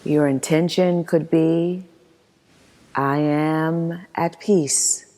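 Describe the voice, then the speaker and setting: A woman leading a guided meditation as instructor, reading in a soothing, measured manner with long pauses. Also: deep voice, fairly even intonation, mellow. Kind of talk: guided meditation, scripted narration. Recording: long hall-like reverb.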